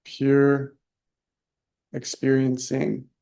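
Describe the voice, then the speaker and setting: A man leading a guided meditation, soft-spoken and unhurried, leaving long pauses between short phrases. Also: medium pitch, completely flat delivery, gravelly. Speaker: meditation teacher.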